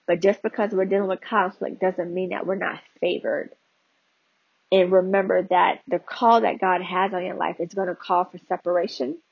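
A woman's voice: fairly low pitch, gravelly, breathy edge, dry recording, highs cut off. A woman podcast host giving a conversational devotional teaching, talking straight to her listeners with frequent "you know" and "right?" asides.

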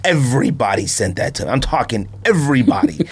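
A man's voice: medium pitch, very animated, fast talker, slightly rough. A man chatting casually as a podcast host, talking in a slangy, informal way. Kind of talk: casual sneaker podcast chat.

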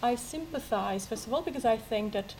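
Italian-accented woman, lively panel talk. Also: fairly high pitch, fairly quick, slight background noise. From Italy. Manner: lively, self-mocking, laughs often, many restarts. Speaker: university language teacher on a debate panel. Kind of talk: spontaneous panel debate answer.

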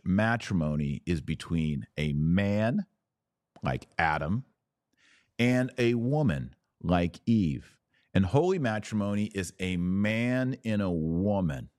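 A man giving explanatory religious commentary as a podcast host, speaking in an emphatic, deliberate teaching manner and repeating phrases for effect. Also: low-pitched, expressive, darkish tone, dry recording, clean studio sound.

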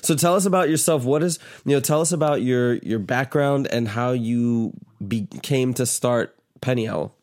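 A man's voice: medium pitch, normal speed, few pauses, a little mellow. A man hosting an interview podcast, upbeat and chatty, with a stuffy, congested voice. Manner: upbeat, asks loose rambling questions. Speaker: podcast host. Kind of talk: casual interview chat.

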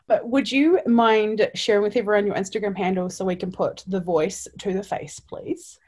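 A woman's voice: medium pitch, fairly even intonation, normal speed, a little mellow. A woman hosting a podcast, talking in a gushing, enthusiastic way with many "likes". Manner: gushing, enthusiastic, says "like" often. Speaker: podcast host. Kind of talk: upbeat podcast chat.

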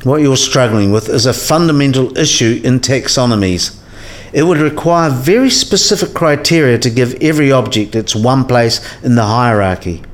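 Male actor as learned professor, medium pitch, patient, didactic, precise, radio play dialogue. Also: lively intonation, normal speed, natural voice. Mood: instructive, probing. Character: learned professor patiently explaining to a younger colleague.

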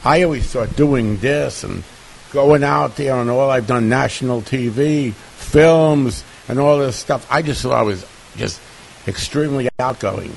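A man hosting a TV talk show, speaking in a relaxed, reflective way. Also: mid-range voice, a little slow, creaky, slight background noise, poor audio. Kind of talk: casual talk-show chat. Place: TV studio.

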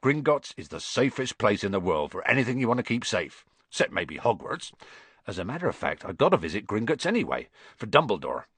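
A man voicing a gruff, good-natured giant from a storybook, proud and loyal, with folksy clipped speech. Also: lowish voice, big pitch swings, brisk, a bit bright, no echo, full character voice. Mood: reassuring, proud. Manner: folksy, clipped casual speech, grunting and sleepy at first.

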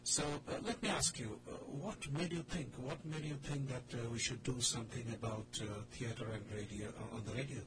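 A man's voice: mid-range voice, brisk, hardly any pauses. A man with an Indian accent hosting a loose, informal radio talk show in a hesitant, discursive way. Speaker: radio drama club host. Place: radio studio with a group in the room. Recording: noisy radio recording with hum.

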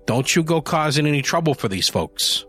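A man's voice: fairly high pitch, lively intonation, normal speed, sharp tone, faint music behind, voice pushed up. A man doing a folksy old widower. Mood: friendly. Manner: folksy, encouraging. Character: kindly older widower, folksy and friendly.

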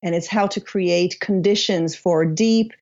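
A woman's voice: lowish voice, unhurried, a little mellow, muffled recording. A woman in her fifties from Israel with a slight Israeli accent, a podcast guest who tells her story reflectively, with restarts and fillers. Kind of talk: podcast interview.

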